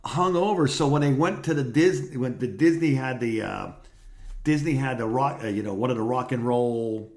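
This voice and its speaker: A middle-aged man talks as a co-host on a casual show. His voice sits at an ordinary pitch. He reminisces in loose, rambling stories, as in an informal conversation. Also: normal speed, darkish tone. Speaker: podcast co-host, former radio host.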